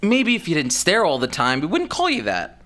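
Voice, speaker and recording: Nervy grumbly guy pilot woman acting him, bright tense voice, swings all over, sci-fi audio drama. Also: sits low, huge pitch swings, moderate pace, heavily acted. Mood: grumbling, reluctant. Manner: hesitant, whiny, sarcastic. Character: anxious, grumbling wreck of a male spaceship pilot.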